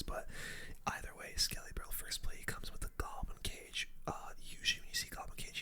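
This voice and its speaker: A person whispering ASMR game commentary as host, in a breathy, unvoiced voice with casual gamer slang. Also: bright, forceful tone. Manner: casual gamer slang, addressing viewers as boys and guys. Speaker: gaming video host.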